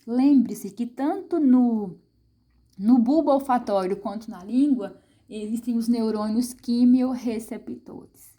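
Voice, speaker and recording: A woman's voice: fairly high pitch, slow, mellow. A middle-aged Brazilian woman teaching a science lesson to children, chatty and full of tag questions, asking and answering her own questions. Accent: Brazilian Portuguese. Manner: frequent tag questions to the viewer.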